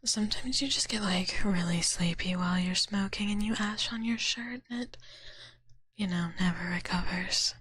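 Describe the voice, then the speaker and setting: A young woman whispering softly to her viewers in a drowsy, teasing chat, tired and a bit out of it. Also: medium pitch, moderate pace, slightly rough, no room sound. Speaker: streamer.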